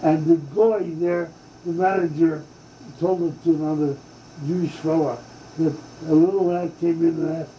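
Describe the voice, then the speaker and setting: An older man from Hungary, recalling his family's past in an oral history interview, speaking haltingly with many fillers and restarts. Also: fairly high pitch, steady tone, warm tone, slight background noise. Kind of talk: slow reminiscing oral history. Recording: dull lo-fi tape in a roomy space.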